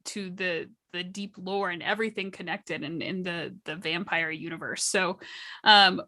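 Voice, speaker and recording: A woman talking casually as a guest storyteller on a panel, enthusiastic and expressive. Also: medium pitch.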